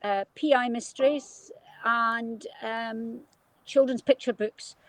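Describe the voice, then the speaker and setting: Scottish lady on a video call, dry close sound, bit tired but lively. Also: on the high side, slow pace, dry recording, rough recording. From Scotland. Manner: lively, self-deprecating. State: tired early in the morning. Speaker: crime writer guest. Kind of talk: panel discussion.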